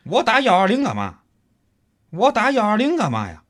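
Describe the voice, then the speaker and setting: Male actor as pushy stranded commuter: Tianjin accent, high lively voice, complaining and threatening, comic radio play. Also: normal speed, exaggerated voice. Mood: indignant, demanding. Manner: complaining, insistent, colloquial. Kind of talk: comic radio drama acting.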